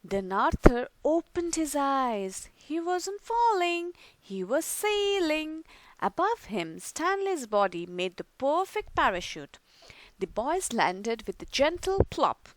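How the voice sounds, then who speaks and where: Woman storyteller, high lively voice, unhurried reading. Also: dry recording. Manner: slow, expressive reading. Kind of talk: children's book read-aloud.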